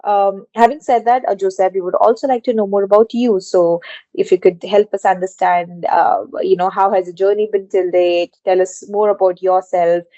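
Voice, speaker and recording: A woman's voice: mid-range voice, steady tone, normal speed, dark tone, no room sound, muffled recording. A woman hosting a podcast interview, asking long winding questions with many hesitations. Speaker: podcast host.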